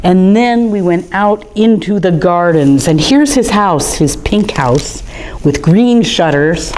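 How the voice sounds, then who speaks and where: Woman, middle-aged, slow and warm-paced storytime talk, somewhat low pitch, light background hiss. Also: animated. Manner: gentle and enthusiastic, showing pictures to children. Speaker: storytime reader at an art museum.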